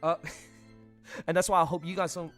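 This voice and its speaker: Middle-aged man, livestream host, slightly high voice, frank casual chatter, background music. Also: little pitch movement, moderate pace, fairly bright tone. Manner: chatty and frank, punctuates with tag questions. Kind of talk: casual, candid group chat. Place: live online voice chat stream. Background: music playing behind the voice.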